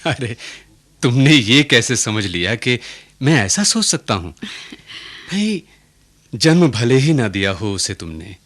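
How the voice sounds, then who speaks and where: Middle-aged merchant dad in a radio drama, fond but firm, really lively pitch swings. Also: male voice, slow, exaggerated voice. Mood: assured. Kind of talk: Hindi radio play acting.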